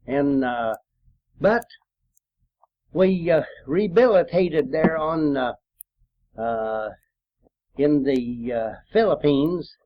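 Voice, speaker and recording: Older Southern American man, high-pitched and slow. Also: animated, no echo, dull audio. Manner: folksy, rambling, unhurried. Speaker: World War II veteran telling memories. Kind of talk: informal oral-history storytelling.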